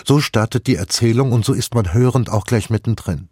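A man presenting a radio book review as the critic, in a measured, analytical way. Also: mid-range voice, warm tone, breathy edge, no echo, studio quality.

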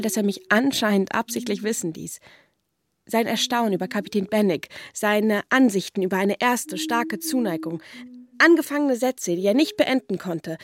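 An actress playing a composed, quietly yearning Regency gentlewoman in a radio drama, thoughtful and formal in her delivery. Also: medium pitch, close to an everyday voice. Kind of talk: German radio drama dialogue and inner monologue.

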